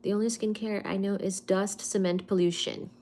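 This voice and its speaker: A young woman from India with an Indian accent, hosting a casual livestream and answering viewer comments in an unhurried, hesitant way with frequent fillers. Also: mid-range voice, steady tone, a little mellow, slight background noise. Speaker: livestream host. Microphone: phone or webcam on a live stream.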